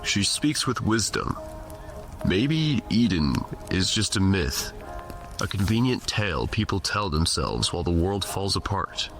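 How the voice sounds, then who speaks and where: Casting: man as world-weary, loyal skeptic for audio drama; very low voice, faint music beneath. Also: sing-song, a little slow, fairly bright tone, heavily acted, low-quality recording. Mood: skeptical, weary. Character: male comrade Malachi, weary skeptic but loyal and encouraging.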